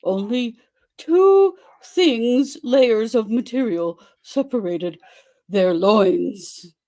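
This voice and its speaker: A woman giving a theatrical dramatic reading of a story, with exaggerated delivery and dramatic pauses. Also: on the high side, lively intonation, mellow. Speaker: dramatic reader.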